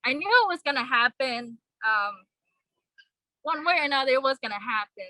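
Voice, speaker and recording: Bright, earnest young woman at a vigil. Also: high-pitched, lively intonation, no echo, rough recording. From Vietnam. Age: young adult. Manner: earnest, halting, many fillers. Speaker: vigil speaker. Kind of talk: personal speech at a vigil.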